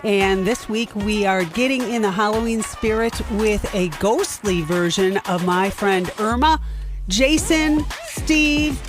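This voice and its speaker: A woman's voice: medium pitch, slow, over music. A woman giving a scripted introduction as host of an audio theatre show. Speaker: podcast host and performer.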